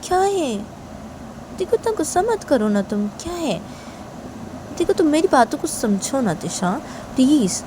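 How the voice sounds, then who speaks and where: A woman's voice: a little high, animated, moderate pace, noisy background, pitched above the performer's own voice, close to an everyday voice. A woman voicing a placating, exasperated young woman in a story, coaxing and repeating herself.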